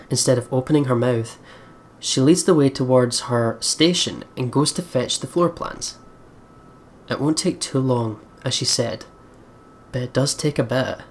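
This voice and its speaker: A woman hosting a let's play, reading visual novel narration and reacting in casual, expressive, sweary commentary. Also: very deep voice, a little hiss or hum.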